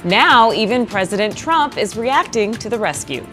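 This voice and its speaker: A woman anchoring an evening newscast, reading stories in a brisk, steady way. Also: medium pitch, soft background music. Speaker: news anchor.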